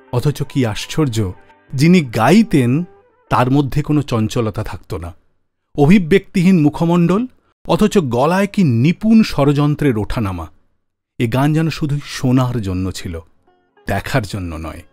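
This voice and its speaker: A man hosting a radio music show, narrating from a script in a measured storytelling way with dramatic emphasis. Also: a little high, darkish tone, no room sound.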